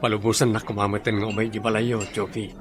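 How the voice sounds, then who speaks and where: An actor with a Filipino accent playing a courteous, well-off gentleman in a radio drama, speaking with assurance. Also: fairly low pitch, little pitch movement, quick pace, a crowd in the background, natural voice. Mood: wooing.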